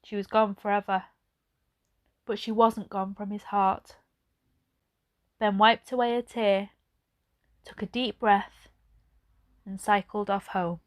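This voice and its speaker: Female storybook narrator, teacher reading aloud. Crisp, clean voice at middle pitch, measured and expressive.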